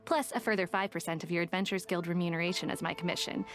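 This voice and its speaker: A woman voicing a shrewd, confident, money-minded detective in an anime video game, businesslike and deliberate. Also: lowish voice, fairly even intonation, music in the background, close to an everyday voice. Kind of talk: anime game voice acting, storytelling.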